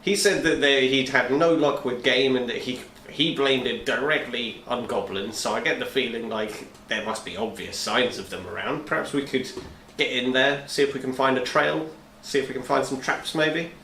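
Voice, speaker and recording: A man's voice: medium pitch, moderate pace, sharp tone. A British man playing a tabletop roleplaying game, talking casually and bantering in character. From Britain. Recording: roomy echo, faint background noise.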